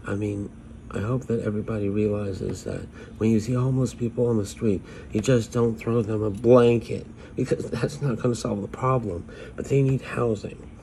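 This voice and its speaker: Weary street fortune-teller woman, a man in character, dark gravelly voice, plaintive phone-camera monologue, faint room hiss. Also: fairly low pitch, normal speed, close to an everyday voice. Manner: pleading, plaintive. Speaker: vlogger in character. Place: small room indoors. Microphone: phone at arm's length.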